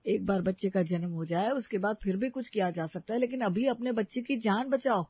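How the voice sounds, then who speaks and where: A woman's voice: mid-range voice, fairly quick, husky, highs cut off, natural voice. A woman voicing an earnest, righteous young man in a melodramatic audio story, speaking with insistent conviction. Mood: urgent pleading. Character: earnest, indignant young man defending a wronged widow.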